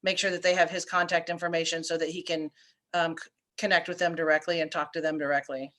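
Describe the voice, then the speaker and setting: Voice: mid-range voice, flat delivery, quick pace, bright, forceful tone. A moderator relaying questions on a video call, accommodating and using fillers. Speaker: conference moderator.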